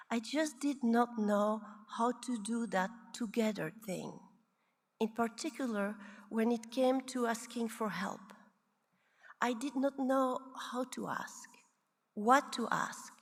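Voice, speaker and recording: A woman in her sixties from Belgium, a psychiatrist giving a prepared, reflective conference talk with measured, deliberate phrasing. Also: a little high, in a large hall. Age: 60s. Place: large conference hall.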